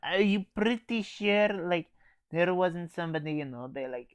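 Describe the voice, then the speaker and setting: A man's voice: high-pitched, lively intonation, normal speed. A man voicing an inquisitive, impatient special-forces soldier in a comic review, questioning everything bluntly. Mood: disbelieving. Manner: questioning, blunt, calls others comrade.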